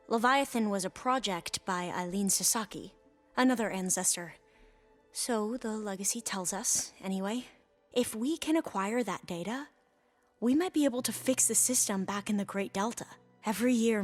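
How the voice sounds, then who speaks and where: A woman's voice: medium pitch, faint music behind, lightly acted. A woman playing a hesitant, bookish young scholar. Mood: earnest, explanatory. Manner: hesitant, halting, with formal learned wording. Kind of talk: video game cutscene dialogue.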